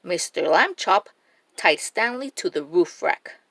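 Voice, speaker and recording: Female children's storyteller, unhurried and very animated, dry recording. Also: on the low side, vocal fry, no room sound. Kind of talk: expressive read-aloud storytelling for young children.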